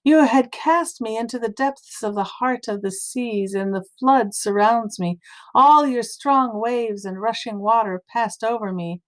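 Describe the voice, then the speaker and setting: A woman's voice: a little high, a little slow, close to an everyday voice. A woman voicing an earnest, distressed male prophet, fervent and solemn, in a scripture story reading.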